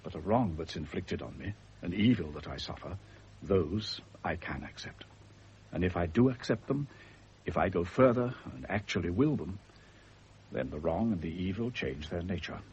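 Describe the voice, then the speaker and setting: An actor playing a grave, philosophical doctor in a radio drama, speaking in a measured, moralizing way. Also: male voice, deep voice, expressive, darkish tone, breathy edge, exaggerated voice. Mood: grave, reasoning. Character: grave, philosophical doctor counselling a condemned man. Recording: muffled old radio drama recording, faint hiss.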